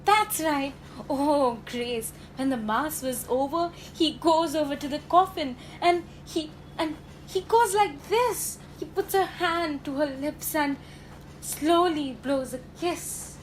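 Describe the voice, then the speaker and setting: A woman's voice: high-pitched, takes it slowly, slight background noise. A teenage girl playing a sentimental, gossipy working-class factory girl in a radio drama, folksy and dramatic. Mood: excited gossip. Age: teenage high school student.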